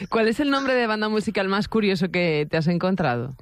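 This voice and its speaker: A woman's voice: mid-range voice, lively intonation, brisk, dry recording. A woman with a Spain Spanish accent hosting a conversational show with a wry, teasing touch. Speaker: host.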